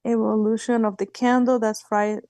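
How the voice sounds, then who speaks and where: Hoarse mayor with a mellow voice. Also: female voice, a little high, little pitch movement, dry recording. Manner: halting, with fillers and restarts. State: sore throat. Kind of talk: chairing a council meeting. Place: home, on a video call. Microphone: video call.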